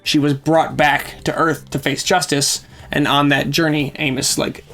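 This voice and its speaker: Casual male co-host, a bit hoarse from a cold, talkative and explanatory, higher pitch. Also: flat delivery, normal speed, fairly bright tone, faint music behind. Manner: chatty, explains plot at length. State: scratchy voice from a cold. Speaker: reaction show host. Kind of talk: casual recap chat.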